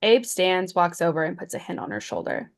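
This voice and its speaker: A woman's voice: lowish voice, a little fast, creaky. A woman reading stage directions as scripted narration at a screenplay table read.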